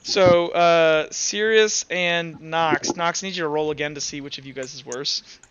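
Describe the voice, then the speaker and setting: A man's voice: a little high, steady tone, moderate pace, fairly bright tone, smooth, dull audio. A man acting as game master, casually running an online tabletop game and thinking aloud as he goes.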